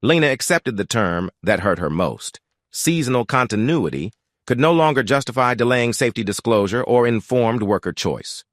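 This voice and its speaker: A man reads a scripted first-person story, measured and sober. His pitch moves around a lot, and the recording is dry and close with no room sound. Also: expressive, no room sound. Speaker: narrator.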